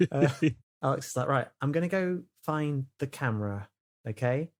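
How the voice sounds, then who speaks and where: A man's voice: mid-range voice, lively intonation, a little slow. A British man plays along in an improvised roleplay game. He talks casually, with an emphatic, exasperated way of repeating himself. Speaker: player in a tabletop roleplay podcast.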